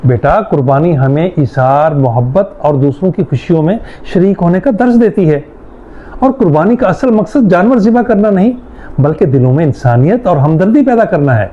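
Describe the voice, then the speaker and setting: A man's voice: a little high, expressive, dull audio, natural voice. A man playing a strict, principled middle-aged father in a moral-story drama, speaking in an emphatic, lecturing way. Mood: earnest. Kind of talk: Urdu moral-story audio drama acting.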